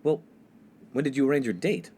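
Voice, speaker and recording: A man's voice: mid-range voice, animated, brisk, warm tone, close to an everyday voice. A man playing an earnest, exasperated young college freshman in a comedic radio play, indignant and sarcastic. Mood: incredulous. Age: young adult. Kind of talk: comedic 1950s-set radio play acting. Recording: faint background noise.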